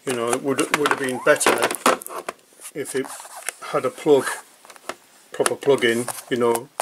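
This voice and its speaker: A man giving casual, hesitant unboxing commentary in short pieces with long pauses. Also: medium pitch, fairly even intonation, heavy background noise. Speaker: hobbyist product reviewer.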